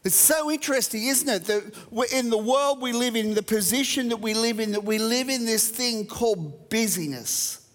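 A man's voice: extremely high pitch, moderate pace, hardly any pauses, a bit bright, long reverb tail. An Australian man preaching a sermon, reading verses and talking conversationally to a church. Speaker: pastor.

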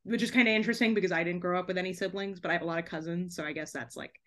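A young woman chatting casually as a podcast guest, animated and talkative, peppering her speech with "like". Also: lowish voice, very fast. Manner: chatty, animated, frequent "like" and laughing asides. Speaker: podcast guest, debut author. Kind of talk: casual chat interview.

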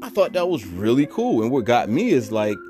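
A man vlogging, telling a rambling personal story full of asides and fillers in a voice he calls bassy. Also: a little fast, faint music behind. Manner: animated, digressive, many fillers and asides; self-described bassy voice.

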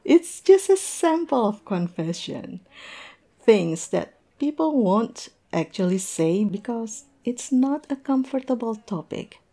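A woman's voice: a little high, very animated, slow, mellow, full character voice. A woman acting in a roleplay audio drama as a tipsy, lovestruck young woman, self-mocking and playfully theatrical. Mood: playful, explaining.

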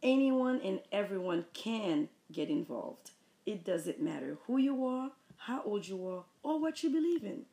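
Woman with a Haitian accent, low and gravelly, warm mellow tone, earnest measured delivery. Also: sing-song. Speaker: mother, high school teacher. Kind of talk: reading prepared interview answers.

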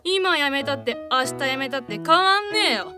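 女性が演じる荒っぽい口調の短気な悪魔. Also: female voice, high voice, expressive, moderate pace, music in the background. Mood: brash, goading. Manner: rough slangy speech, goading. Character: brash, hot-tempered devil in the heroine's heart, rough masculine speech.

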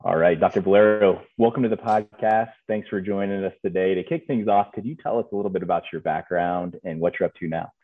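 Deep-voiced male podcast host. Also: fast talker, few pauses, phone-quality sound. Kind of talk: scripted podcast intro.

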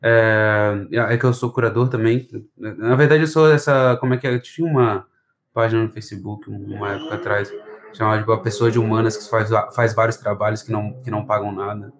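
A man's voice: lowish voice, steady tone, a little fast. A man from southern Brazil with a mild Brazilian southern accent, chatting casually as a podcast guest in a rambling, slangy way. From Florianópolis, southern Brazil. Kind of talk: casual chat.

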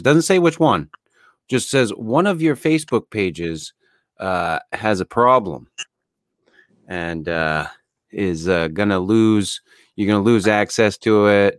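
A man with a very low, deep voice, talking loosely on a podcast. He drifts along with plenty of fillers and cheerful swearing. The sound is close and dry, with no room around it. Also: dry recording. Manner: laid-back, swears freely, lots of fillers. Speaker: podcast co-host. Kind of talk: casual podcast chat.

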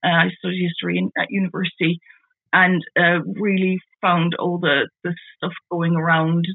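A Dutch-accented woman in her forties with a gravelly, husky voice. She talks in a halting way as an interview guest, restarting and repeating words. The sound is dry and thin, like a phone line. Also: lowish voice, little pitch movement, no room sound. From Netherlands. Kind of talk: casual conversational interview. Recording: tinny phone-like call audio.

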